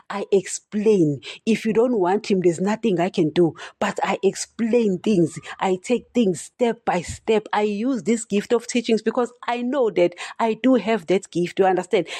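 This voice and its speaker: A woman's voice: mid-range voice, dark tone, no echo. Blunt woman preaching with a stutter. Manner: direct, repeats "you understand?", addresses listeners as guys. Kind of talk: solo preaching podcast talk.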